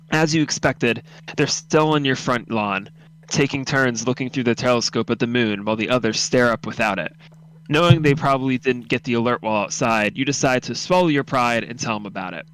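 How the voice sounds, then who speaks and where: A man narrates an audio drama in the second person, reading from a script. His pitch sits in an ordinary middle range. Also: a bit bright, slight background noise, low-quality recording. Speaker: narrator of a radio drama read-through.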